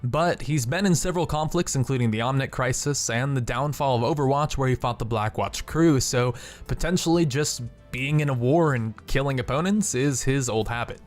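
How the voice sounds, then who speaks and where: A man's voice: mid-range voice, normal speed. A man hosting a video game channel, reading scripted narration. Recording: background music under voice. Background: music.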